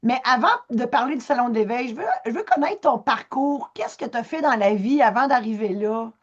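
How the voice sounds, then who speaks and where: Woman interviewer, higher voice, friendly, slightly muffled recording. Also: fairly quick.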